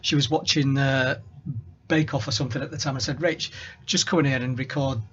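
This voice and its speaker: A man with a Northern English accent, a guest chatting on a radio show, brisk and emphatic in his praise. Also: medium pitch, steady tone, a bit bright. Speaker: co-producer guest. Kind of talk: casual radio chat. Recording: dull lo-fi radio audio.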